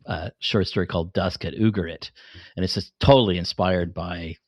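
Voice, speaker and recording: A man's voice: deep voice, steady tone, moderate pace, no room sound, clean studio sound. A man, middle-aged, talking casually on a podcast and explaining things in an enthusiastic, rambling way. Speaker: podcast host and fiction writer.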